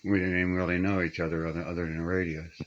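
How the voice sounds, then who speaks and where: A man's voice: deep voice, little pitch movement, rapid-fire, lo-fi sound. An older man chatting casually and reminiscing in a plainspoken, folksy way, with homespun grammar. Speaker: radio hobbyist showing his collection. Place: small upstairs room being stripped to studs.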